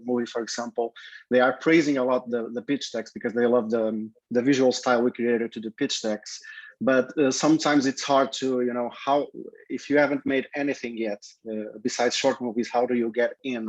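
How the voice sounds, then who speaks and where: A Portuguese man, a film director, introducing himself on a video call in halting English, often repeating words. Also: medium pitch, no echo. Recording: dull video call audio.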